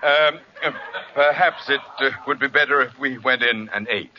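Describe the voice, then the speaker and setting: An actor playing a genial, genteel society doctor with an amused, hesitant manner in a 1940s radio comedy. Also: male voice, medium pitch, normal speed, lightly acted. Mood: polite, hesitant. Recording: muffled, slightly noisy old radio recording.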